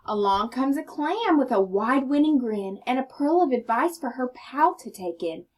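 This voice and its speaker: A woman with a fairly high voice reads a picture book to children. She is instructive, explaining words and inviting listeners to think. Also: animated, normal speed, darkish tone. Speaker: storybook reader and teacher. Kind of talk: children's read-aloud with explanations and questions.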